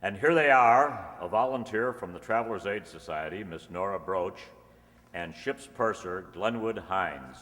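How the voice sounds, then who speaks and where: Polished old-time radio pitchman, male actor, low register, reverberant hall. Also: unhurried, a bit bright. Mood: announcing. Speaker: announcer. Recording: echoey hall, long reverb.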